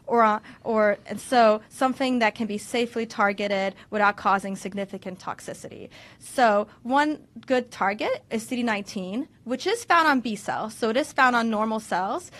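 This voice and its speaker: A woman physician lectures to a webinar audience in a slightly high voice. She explains each point step by step, leaning on "so" and restarting her sentences. Her first language is Russian, though she grew up in the United States. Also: fairly bright tone. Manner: explanatory, many "so" fillers and restarts. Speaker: physician presenter.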